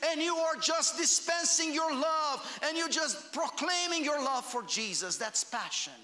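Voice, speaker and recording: A man from Romania, preaching a fervent sermon in a church that builds to shouts on key phrases. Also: very high voice, big-room sound. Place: church hall.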